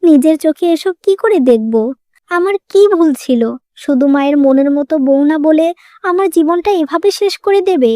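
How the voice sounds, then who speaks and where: A woman's voice: high voice, expressive, moderate pace, mellow, no echo, studio quality. A woman voicing an emotional, plaintive young modern wife in a moral-story cartoon. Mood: heartbroken.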